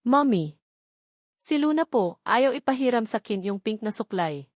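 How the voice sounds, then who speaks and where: A woman's voice: fairly high pitch, sing-song, a little slow, no room sound, clean studio sound. A woman with a Filipino accent voicing eager, whiny, insistent little girls in a storybook tale. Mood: whiny, tattling. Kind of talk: storybook narration character voice.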